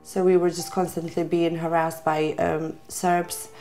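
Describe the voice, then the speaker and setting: A woman's voice: on the low side, flat delivery, measured pace, dark tone, soft background music. A woman from Kosovo, giving matter-of-fact interview testimony. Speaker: interviewee, former refugee.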